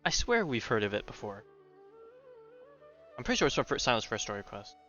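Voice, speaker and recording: A man gives casual live game commentary, musing aloud in a rambling way. His voice is bright and forward, though the recording is a little dull. Faint music runs behind him. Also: medium pitch, very animated, a little fast. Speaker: game streamer.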